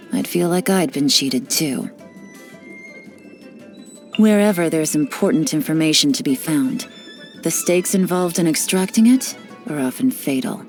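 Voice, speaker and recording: A cool female spy who talks in a low, unhurried voice and teases with a sly, knowing edge. She sounds relaxed and in control. Faint music sits under the clean studio voice. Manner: teasing, relaxed, lightly sly. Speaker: game character voice lines. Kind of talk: studio game voice-over.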